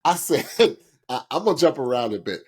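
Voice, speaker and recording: A young man co-hosting a podcast, reviewing singing performances in an animated, chatty way, with many restarts. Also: medium pitch, a little fast, husky. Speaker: podcast co-host. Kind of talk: casual music review chat.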